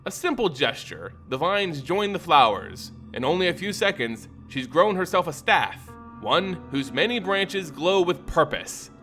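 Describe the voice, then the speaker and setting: A man giving a dramatic, theatrical scripted narration of a fantasy story, with deliberate emphasis. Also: fairly high pitch, big pitch swings, fairly bright tone. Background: music.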